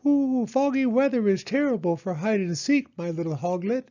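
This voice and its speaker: A man voicing an eager, self-assured owl in a children's storybook, with hooting, drawn-out exclamations. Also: high voice, dark tone, highs cut off, pitched above the performer's own voice, lightly acted. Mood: curious.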